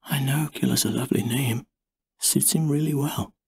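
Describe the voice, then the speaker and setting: Soft, gently persuasive mother played by a man. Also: mid-range voice, fairly even intonation, normal speed, creaky, slight background noise, lightly acted. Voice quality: soft, quiet.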